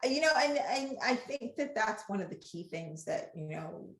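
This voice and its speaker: A woman with a Ghanaian accent, a guest author talking casually on a podcast, animated and rambling with quick asides. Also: on the high side. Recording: roomy echo.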